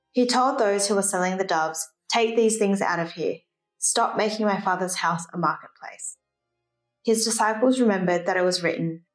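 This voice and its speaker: A woman's voice: mid-range voice, rough recording. A woman giving a formal liturgical reading of scripture. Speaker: scripture reader.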